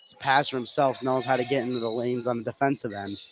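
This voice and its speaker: Male color commentator, mid-pitched, analysing live basketball plays on radio over clear gym noise. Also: fairly even intonation, fast. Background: gym ambience with bouncing basketballs.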